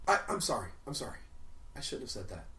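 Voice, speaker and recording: Sarcastic male movie reviewer, lively pitch. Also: fast talker, creaky. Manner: sarcastic, swings into loud outraged rants. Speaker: film reviewer. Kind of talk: comedic movie review narration. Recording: roomy echo, mic at a distance.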